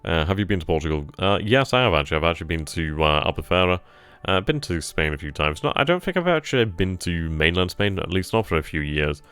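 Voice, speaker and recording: A man's voice: sits low, expressive, fast talker, a bit bright, smooth. A man hosting a strategy game stream, thinking aloud over his moves and casually answering chat questions. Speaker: gaming channel host. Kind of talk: live strategy game commentary.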